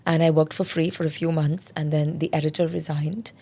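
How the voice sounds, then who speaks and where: A woman in her forties from India talks candidly about her life as an interview guest. Her voice sits low and carries a gravelly, crackly texture. She tells her story in a reflective, conversational way. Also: level tone, a little fast, warm tone. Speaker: guest, parenting specialist.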